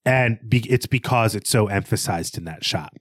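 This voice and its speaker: A man co-hosting a casual, analytical film discussion, working out his thoughts aloud in a halting way. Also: on the low side, no echo, clean studio sound. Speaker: podcast co-host.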